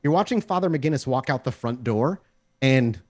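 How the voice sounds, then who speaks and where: A man's voice: mid-range voice, expressive, moderate pace, a little mellow. A man running a tabletop role-playing game as game master, narrating scenes in descriptive, cinematic detail.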